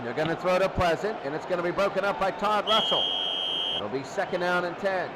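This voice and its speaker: Male sports announcer, high register. Terse live football calls over clear noise. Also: expressive, brisk. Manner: terse calls, repeating down and yardage. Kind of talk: live American football commentary. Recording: clearly noisy recording.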